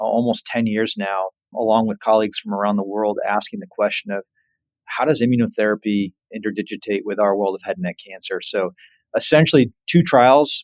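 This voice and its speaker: A man's voice: lowish voice, dry recording, low-quality recording. A man speaking as an expert guest in an interview, explaining things in informal, plain wording. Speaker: surgical oncologist, podcast guest.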